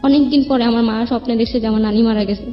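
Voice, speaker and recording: A woman's voice: fairly high pitch, steady tone, quick pace. A woman with a Bangladeshi accent tells her personal story in an interview, plainly and at length, often quoting what others said. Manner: rambling, plain-spoken narration with quoted dialogue. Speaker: guest telling her life story. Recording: band-limited dull sound with background music.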